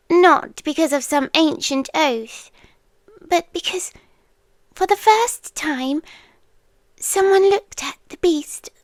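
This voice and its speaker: A British woman performing an ASMR roleplay as a tenderly devoted, protective female beast, speaking in a hushed voice with frequent whispers and long pauses. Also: very high voice, no echo. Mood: tender, confessional.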